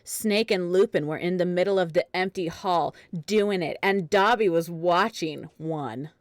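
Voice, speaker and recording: Low-voiced woman narrating, dry close sound. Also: unhurried, no room sound. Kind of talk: dramatic reading of fan fiction.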